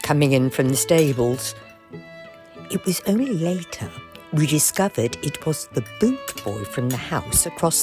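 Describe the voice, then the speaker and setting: A woman's voice: sits low, darkish tone. A woman playing a chatty, respectable Edwardian mother in an audio drama. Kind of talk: period audio drama acting.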